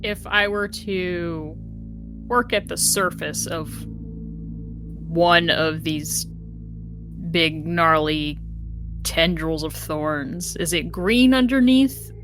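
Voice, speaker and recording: A woman plays a tabletop game, chatting casually in a fairly low voice. She takes her time, talking quite slowly, and music is clearly audible behind her.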